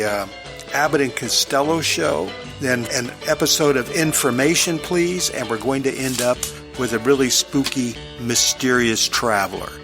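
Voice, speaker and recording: A man speaking casually as a podcast host, introducing what is coming up in an informal way. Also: medium pitch.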